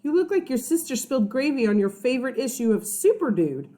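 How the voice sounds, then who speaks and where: An excitable, loyal schoolboy pal from a storybook, put on by a woman reader. The voice sits fairly high and exclaims with emphasis, rallying a friend. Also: brisk. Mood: teasing.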